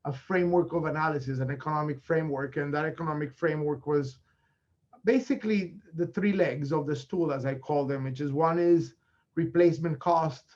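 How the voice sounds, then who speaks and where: A native Spanish-speaking man with a Colombian accent gives a business presentation. His voice sits fairly high, and he talks in halting bursts full of fillers and restarts. The recording sounds dull and lo-fi. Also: darkish tone. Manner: frequent fillers and self-corrections. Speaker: real estate investor presenting. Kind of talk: conference presentation.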